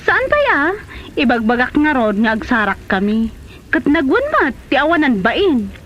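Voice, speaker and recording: A woman's voice: sits high, sing-song, moderate pace. A woman with a Filipino accent playing a scornful, fed-up wife in a comedy radio drama. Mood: indignant. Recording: dull, noisy audio.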